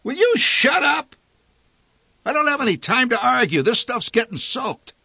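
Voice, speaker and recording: A man voicing a blustering, short-tempered middle-aged father who shouts and curses at his family. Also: medium pitch, big pitch swings, very slow, no echo, pitched above the performer's own voice, exaggerated voice. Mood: shouting, angry. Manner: shouting, cursing, exasperated.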